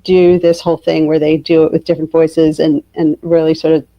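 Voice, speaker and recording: A woman's voice: low voice, little pitch movement, fairly quick. A woman who is an author, chatting casually and telling anecdotes as an interview guest. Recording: dull, muffled lo-fi sound.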